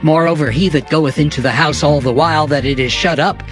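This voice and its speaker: Slightly high-voiced formal male narrator. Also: fairly even intonation, a little fast. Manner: formal, declarative. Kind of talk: dramatized Bible narration. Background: faint music.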